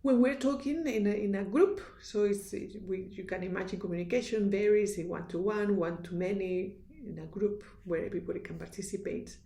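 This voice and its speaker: A woman's voice: mid-range voice, steady tone, a little fast, vocal fry, few pauses, dark tone, reverberant. A woman with a strong Spanish accent, a native Spanish speaker, giving an earnest, instructive university lecture with small restarts and fillers. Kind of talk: recorded lecture.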